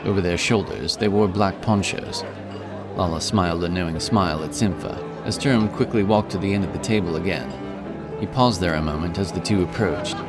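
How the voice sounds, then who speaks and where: Deep-voiced male fantasy narrator over music. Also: moderate pace. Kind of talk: scripted fantasy story narration.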